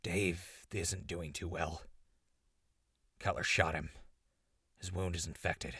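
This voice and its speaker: An actor playing a sardonic, laid-back ghoul survivor in an audio drama, raspy and gravelly, with a casual, teasing way of talking. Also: male voice, low voice, flat delivery, takes it slowly, sharp tone, dry recording, clean studio sound, exaggerated voice. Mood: resigned. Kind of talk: post-apocalyptic audio drama acting.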